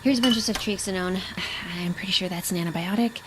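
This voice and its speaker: A medium-pitched female voice acted as an anxious, helpful crew member in a sci-fi audio drama. She is brisk and practical, with clear noise around her. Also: close to an everyday voice. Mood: busy, helpful. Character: anxious, helpful female medical helper on a spaceship.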